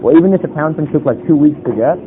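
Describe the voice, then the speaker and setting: A man's voice: on the high side, quick pace. A young man chatting casually with someone he has approached, in a hesitant, rambling way full of fillers. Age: young adult. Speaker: pickup vlogger chatting up a stranger. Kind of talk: casual street conversation. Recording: muffled phone-like audio, loud street noise.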